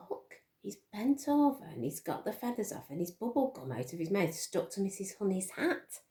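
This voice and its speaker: Female storyteller for young children: very lively pitch, slow pace, expressive and inviting questions. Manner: expressive, dramatic gasps and questions to listeners. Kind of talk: animated picture-book storytelling to young children.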